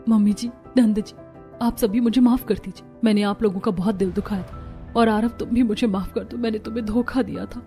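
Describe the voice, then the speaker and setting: A woman playing a gentle, repentant and devoted daughter-in-law in a family cartoon, humble and pleading. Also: mid-range voice, fairly even intonation, brisk, soft background music, lightly acted. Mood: tearful remorse.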